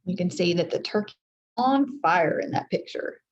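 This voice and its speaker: A woman reading a children's chapter book aloud in an expressive teacherly style, speaking directly to her young listeners. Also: fairly low pitch, moderate pace, dull audio, slight background noise. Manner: addresses young listeners directly, acts out dialogue.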